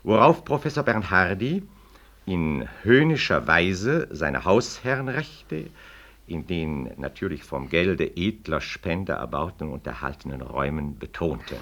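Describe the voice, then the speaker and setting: Radio drama: low-voiced, self-righteous male professor, formal, with sweeping emphatic intonation. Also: very animated, measured pace, a bit bright, exaggerated voice. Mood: declamatory, pointed. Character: pompous, self-righteous senior medical professor. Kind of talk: 1960s German radio play acting.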